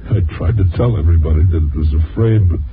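An actor with an American accent playing a hard-boiled newspaper reporter in a 1950s radio drama. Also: male voice, sits low, fast talker, a little hiss or hum. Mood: bitter, defeated. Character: ambitious hard-boiled newspaper reporter, later a bitter washed-up loser. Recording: muffled old 1950s radio recording with music.

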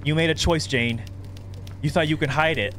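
Man acting a calm, menacing male psychopath. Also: fairly high pitch, normal speed, a little hiss or hum, lightly acted. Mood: coaxing, accusing. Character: menacing thirty-year-old male psychopath, possessive, calmly sinister. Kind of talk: reading horror game dialogue aloud.

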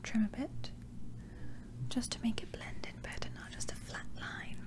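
Softly whispering woman in ASMR barber roleplay. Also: moderate pace. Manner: gentle, polite, attentive narration of each step.

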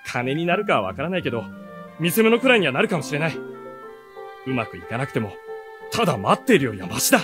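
Radio drama lead: earnest bookish runaway boy, highish animated voice, expressive storytelling delivery. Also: fast, long pauses, over music. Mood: self-reproachful, then resolved. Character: earnest seventeen-year-old youth, bookish runaway storyteller. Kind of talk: radio drama narration and dialogue.

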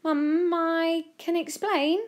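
A woman's voice: extremely high pitch, steady tone, takes it slowly, pitched above the performer's own voice. A woman voicing an awkward, bashful schoolboy in a children's story, hesitant and flustered. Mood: flustered, relieved. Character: awkward, bashful British schoolboy, reluctant celebrity.

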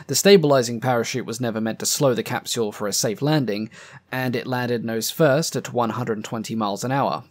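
A man hosts his own aviation history channel, reading a scripted narration in a measured, earnest tone. His voice sits at an ordinary middle pitch and sounds a little strained and uneven, as if he has been losing it. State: voice a bit up and down from losing it.

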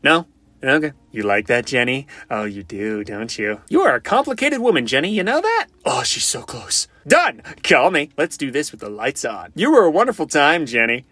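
A man's voice: mid-range voice, big pitch swings, normal speed, sharp tone, dry recording, pitched below the performer's own voice. A man voicing a cocky, flirty, goofy wisecracking survivor for fan-made video game lines, in a playful, theatrical, quippy manner. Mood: flirty, teasing. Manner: playful, theatrical, quippy, often sing-song.

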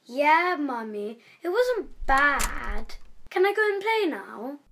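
A woman's voice: high-pitched, sing-song, slow pace, bright, forceful tone, slight background noise, full character voice. A woman playing a whiny, pleading young child in a radio drama. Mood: reluctant. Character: young child attached to a beloved toy. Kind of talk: amateur radio drama acting.

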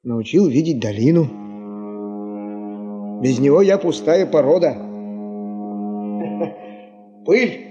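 An actor playing a humble, devoted gold prospector in a 1970s Soviet radio drama, restrained and self-effacing. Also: male voice, mid-range voice, expressive, drawn-out words, pauses often, dark tone, husky, faint music behind, exaggerated voice. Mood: humble, reserved. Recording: dull, hissy 1970s radio play recording.

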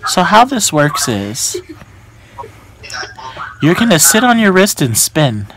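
A man in his twenties with a slightly high voice makes prank calls in a casual, slangy way. He hedges politely as he talks. The close indoor recording has a faint layer of noise. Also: sing-song, takes it slowly. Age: 20s. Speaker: prank caller. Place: small bedroom, indoors.

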